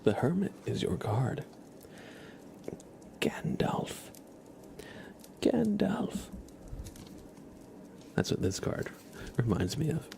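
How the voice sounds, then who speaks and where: A man hosting an ASMR stream, speaking softly and often in a whisper, gently and casually as if chatting with viewers. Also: mid-range voice, sing-song, drawn-out words, creaky, long pauses. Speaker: ASMR livestream host. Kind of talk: ASMR, soft-spoken and whispered.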